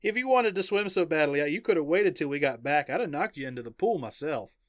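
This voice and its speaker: Man voicing a loud, mocking male teammate. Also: high voice, lively intonation, normal speed, no echo, studio quality, voice pushed up. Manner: teasing, exaggerated. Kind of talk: audiobook character dialogue.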